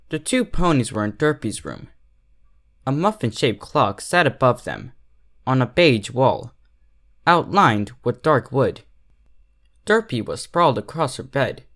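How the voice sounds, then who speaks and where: A man's voice: medium pitch, a bit bright. A man reading a story aloud as scripted narration. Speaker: fan fiction reader.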